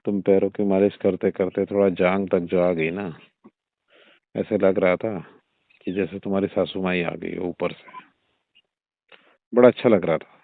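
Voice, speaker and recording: Older father-in-law played by a man, lowish voice, indulgent and coaxing, conversational phone-drama delivery over a narrow phone line. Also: fairly even intonation, unhurried, creaky, pauses often, no room sound. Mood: fond, suggestive. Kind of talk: staged phone-call audio drama in everyday conversational speech. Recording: muffled narrow-band phone-line sound.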